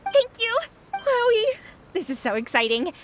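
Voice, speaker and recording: A woman voicing a bubbly, excitable young townswoman in an anime-style game, eager and exclamatory. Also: extremely high pitch, unhurried, over music, exaggerated voice. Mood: excited.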